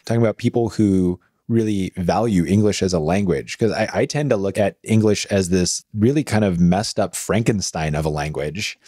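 A man's voice: low voice, moderate pace, few pauses, warm tone, no room sound, clean studio sound. A man hosting a podcast interview, asking long, thoughtful questions with small restarts. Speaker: podcast host. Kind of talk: conversational interview.

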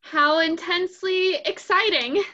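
Very high, bright girlish young friend, played by a woman. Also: a little slow, full character voice. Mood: eager, polite. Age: young adult. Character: sweet, tactless young girl friend of the wife. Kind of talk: theatrical stage comedy acting. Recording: dull, lo-fi video-call sound.

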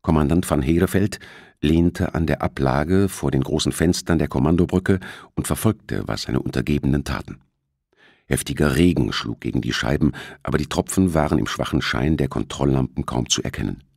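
A man's voice: very deep voice, moderate pace, creaky, darkish tone, dry recording, clean studio sound. A man reading a thriller as an audiobook narrator, in scripted narration.

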